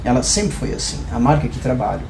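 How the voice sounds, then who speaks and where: A man's voice: medium pitch, lively intonation, brisk, darkish tone. A man with a southern Brazilian accent in a podcast conversation, explaining things through examples and rhetorical questions. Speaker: podcast guest, hair professional. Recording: roomy echo, clear background noise.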